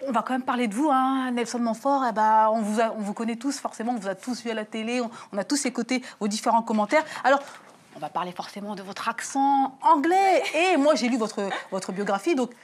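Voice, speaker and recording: Woman host, upbeat, pushy-promotional, somewhat high voice, casual talk show. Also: fairly quick.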